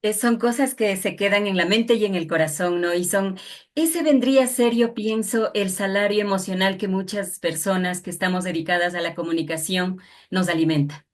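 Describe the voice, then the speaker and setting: A woman's voice: mid-range voice, normal speed. A woman conducting a conversational interview in a courteous, formal manner.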